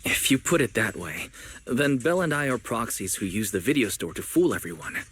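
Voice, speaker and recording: A man playing a calm, kindly young manager in a video game, talking in a reassuring, encouraging way. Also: mid-range voice, brisk, soft background music. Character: young male manager and secret proxy, calm, kindly leader.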